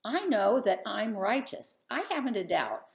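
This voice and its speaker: A woman's voice: medium pitch, sing-song, moderate pace, creaky, pitched above the performer's own voice. A woman voicing a suffering righteous man who pleads in rhyme. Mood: pleading. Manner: rhyming, protesting. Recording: roomy echo.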